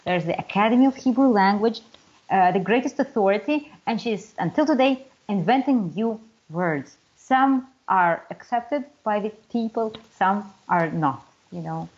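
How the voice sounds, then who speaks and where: A woman's voice: medium pitch, expressive, a little slow, darkish tone, highs cut off. A woman, a Hebrew teacher answering interview questions hesitantly with many restarts. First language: Russian. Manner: hesitant, many restarts and fillers, laughs easily.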